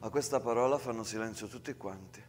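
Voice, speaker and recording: A man's voice: on the low side, fast talker, music in the background. A man, narrating a theatrical monologue as an actor.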